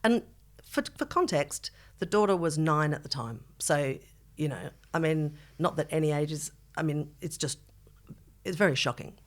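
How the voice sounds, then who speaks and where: A middle-aged woman with a low-pitched voice. She talks as a podcast co-host in serious conversation, circling through asides and qualifications as she goes. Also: lively intonation, normal speed. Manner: discursive, qualifying herself with asides.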